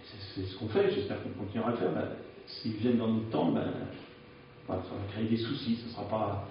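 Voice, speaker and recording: A man's voice: lowish voice, steady tone, brisk, slight background noise. A man preaching a sermon in a frank, conversational way, often hesitating as he speaks, recorded in a church. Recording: echoey church, distant dull mic.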